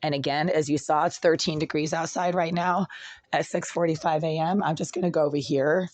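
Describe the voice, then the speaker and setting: A vlogger with a hoarse, raspy voice that cracks and breaks, ranting in an aggrieved way from a cold apartment. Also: mid-range voice, fairly even intonation, brisk. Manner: aggrieved, ranting, insults critics. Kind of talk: casual complaint vlog.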